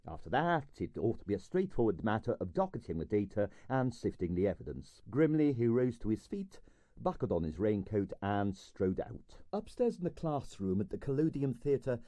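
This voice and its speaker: A man reading a comic novel as audiobook narrator, in an expressive, wry storytelling manner. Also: mid-range voice, no echo, studio quality.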